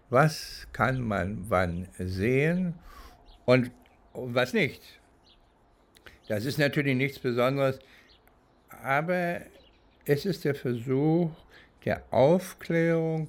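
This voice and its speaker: An older man reminiscing without a script, speaking deliberately and often searching for his words. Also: mid-range voice. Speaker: interviewee recounting criminal cases. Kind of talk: unscripted reminiscing in a documentary.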